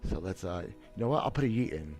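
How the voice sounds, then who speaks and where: Low-voiced guy on a headset, cocky and blunt, keeps restarting, voice jumps around a lot, bit of music under it. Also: lively intonation, quick pace, a little mellow. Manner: boastful, blunt, many restarts and stammers. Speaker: gaming streamer. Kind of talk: casual livestream commentary. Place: small room, seated in gaming chair. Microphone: headset, webcam framing.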